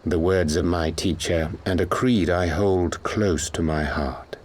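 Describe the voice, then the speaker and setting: A man playing a solemn, sorrowful scholar in a fantasy game, speaking formal archaic English with measured gravity. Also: deep voice, fairly even intonation, a little hiss or hum. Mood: resolute. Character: solemn, sorrowful male scholar torn by duty.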